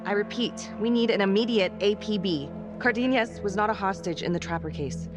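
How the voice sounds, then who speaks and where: An actress playing a guarded, skeptical police detective in a crime game, terse and wry. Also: female voice, medium pitch, fairly quick, over music, lightly acted. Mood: urgent, official. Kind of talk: cinematic crime game voice acting.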